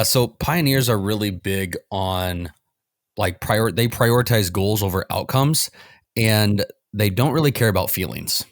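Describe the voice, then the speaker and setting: A man's voice: lowish voice, no echo, clean studio sound. A man explaining things conversationally as an expert guest on a panel.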